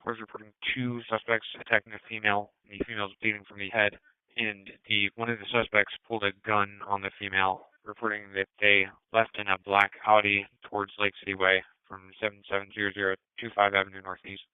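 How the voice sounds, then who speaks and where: A man's voice: fairly low pitch, little pitch movement, quick pace, clean tone, dry recording. A man working as a police dispatcher, reading out incident reports over the radio in a clipped, businesslike way. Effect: police radio transmission. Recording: tinny narrowband police scanner audio.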